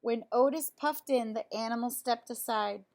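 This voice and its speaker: A woman reading a children's picture book aloud in an expressive way, as if to young listeners. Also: on the high side, normal speed. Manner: expressive, explains words simply to children. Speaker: storybook reader.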